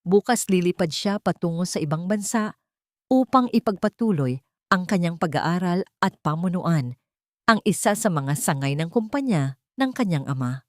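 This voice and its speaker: Woman telling a drama story, steady and measured, lowish voice, very dry close sound. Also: no echo. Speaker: narrator. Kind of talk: scripted narration of a dramatic audio story.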